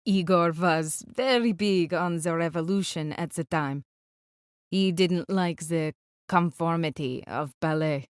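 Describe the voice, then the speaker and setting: Woman voicing a low-pitched romantic man, storybook dialogue. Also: a little slow, clean tone, dry recording, studio quality, natural voice. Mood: confiding. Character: romantic, talkative man who guides visitors. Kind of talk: audiobook character dialogue.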